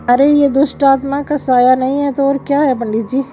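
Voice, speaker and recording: A woman's voice: high-pitched, flat delivery, brisk, warm tone, muffled recording, natural voice. A woman playing a troubled wife in a dramatized children's ghost story. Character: troubled, pleading wife in haunted house. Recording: music behind the voice. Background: music.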